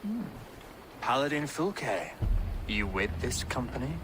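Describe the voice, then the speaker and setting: A man's voice: mid-range voice, big pitch swings, background noise. An actor playing a dignified, pious Saxon king in a video game, formal and dryly haughty. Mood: measured. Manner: measured, regal, formal. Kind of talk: dramatic video game acting.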